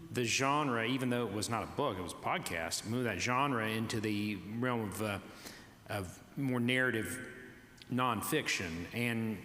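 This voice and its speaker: A man's voice: medium pitch, bright, forceful tone. A man moderating a panel in a conversational style. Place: large reverberant festival venue. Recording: echoey hall with long reverb.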